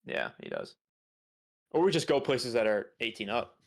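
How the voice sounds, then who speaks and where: A man's voice: mid-range voice, huge pitch swings, normal speed, creaky. A man in his twenties speaks as a podcast co-host in a mid-range voice. His delivery is informal banter, and he tends to circle back over his own words as he reasons. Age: 20s.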